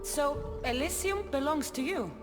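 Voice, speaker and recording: A woman's voice: high-pitched, animated, a little slow, over music. A woman playing a bold, wry, self-assured female warrior in a video game cutscene. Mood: guarded, wry.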